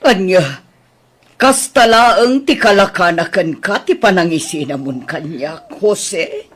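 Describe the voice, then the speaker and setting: A husky, slow young woman, hurt and reproachful, played by a woman. Her voice moves up and down a lot as she questions him in an audio drama. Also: on the low side, animated, exaggerated voice. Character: Carol, a wounded young woman, a girlfriend.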